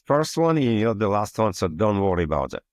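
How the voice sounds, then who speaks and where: A man's voice: lowish voice, very animated, a little fast, dry recording, clean studio sound. A man from Kyiv with a Russian accent, a non-native English speaker, answering interview questions earnestly in slightly broken English. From Kyiv, Ukraine. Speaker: guest, cybersecurity architect.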